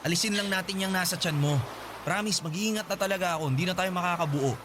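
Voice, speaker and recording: A man playing a fast-talking evasive boyfriend. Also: sits high, noisy background, lightly acted. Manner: evasive, dismissive. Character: young boyfriend refusing to take responsibility. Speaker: voice actor. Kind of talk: radio drama acting.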